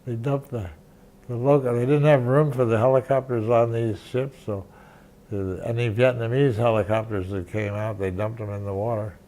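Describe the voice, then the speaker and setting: An older man, a veteran journalist, recounting memories in an interview, halting and restarting often. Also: mid-range voice, steady tone, warm tone, poor audio. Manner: halting, many restarts and fillers. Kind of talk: reminiscing interview.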